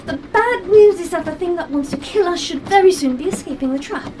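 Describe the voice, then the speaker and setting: A woman playing a quick-witted, eccentric time-travelling adventurer in an audio drama, rambling breezily and flippantly even in danger. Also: extremely high pitch, recorded from a distance, slight background noise. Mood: flippant, brisk.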